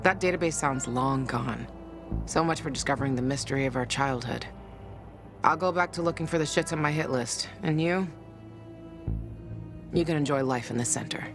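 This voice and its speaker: An actress playing a tough, jaded survivor in a video game, blunt, sardonic and profane. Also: female voice, very deep voice, moderate pace, pauses often, over music. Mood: sardonic, scornful. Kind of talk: video game dramatic acting.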